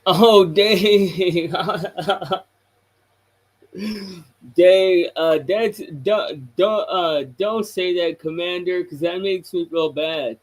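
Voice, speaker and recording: A man with a fairly high voice hosts a relaxed livestream chat at a computer mic. He laughs often and pokes fun at himself, stumbling over fillers as he talks. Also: drawn-out words. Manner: laughing, self-mocking, full of fillers.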